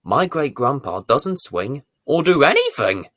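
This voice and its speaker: A man reading a bedtime story to young children as its presenter, with deliberate, theatrical emphasis. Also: mid-range voice, big pitch swings, no echo, studio quality. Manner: deliberate, theatrical emphasis with dramatic swells and hushes.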